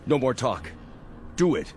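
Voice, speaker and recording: An actor playing a bitter, domineering military rabble-rouser in a radio drama, with a scornful, commanding, rhetorical delivery. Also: male voice, medium pitch, sing-song, measured pace, noisy background. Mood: angry commanding. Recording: studio radio drama, loud music underneath.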